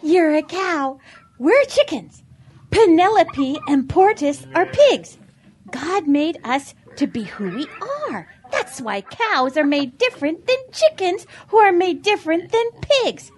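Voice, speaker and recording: A woman's voice: very high-pitched, very animated, slow, exaggerated voice. A woman voicing a sweet, earnest female farm chicken with a sing-song manner in a children's audio drama. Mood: gently instructive.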